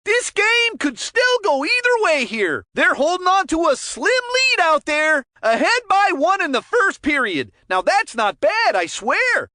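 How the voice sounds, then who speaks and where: An actor playing an excitable, folksy cartoon kids' hockey commentator in a video game, full of slangy exclamations. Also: very high voice, dry recording, clean studio sound, full character voice. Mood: upbeat, chatty. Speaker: sports commentator. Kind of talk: animated play-by-play game commentary.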